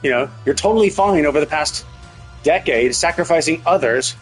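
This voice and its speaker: A man with a somewhat high-pitched voice talks through a video game as a streamer would. He is casual and opinionated, with plenty of profanity. Music plays faintly behind him. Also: animated, moderate pace, rough recording. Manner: profane, opinionated, mockingly paraphrases characters. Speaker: livestreamer commenting on a game.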